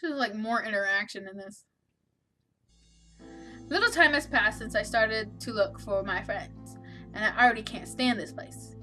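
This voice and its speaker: A woman's voice: medium pitch, normal speed, long pauses, sharp tone, faint music behind. A woman hosting a let's play, reading a visual novel aloud and adding wry, opinionated asides.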